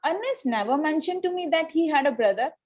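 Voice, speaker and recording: A woman's voice: sits high, animated, brisk, darkish tone, dull audio. A woman reading the part of a haughty, coolly polite young upper-class lady in a Victorian stage comedy, formal with small stumbles. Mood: prim, confiding. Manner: formal, stumbles over lines. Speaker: table-read participant.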